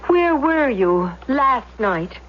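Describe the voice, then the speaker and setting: An actress playing a troubled, suspicious wife, hesitant and probing, in a 1970s radio drama. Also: female voice, high-pitched, very animated, very slow, a little hiss or hum, exaggerated voice. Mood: tense, accusing. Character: troubled, suspicious wife confronting her husband. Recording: muffled old radio recording.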